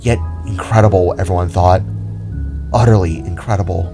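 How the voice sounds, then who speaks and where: A man's voice: sits low, steady tone, normal speed, gravelly, music in the background. A man reading scripted, dramatic story narration for an amateur audio drama.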